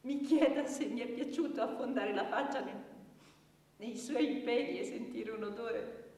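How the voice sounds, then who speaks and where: An actress playing a wounded, bitter young woman in a halting, deliberate theatre monologue. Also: fairly high pitch, dark tone, far from the mic. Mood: accusing, bitter. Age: young adult. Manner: halting, deliberate, repeating words, with long pauses. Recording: echoey theatre hall from afar, noisy.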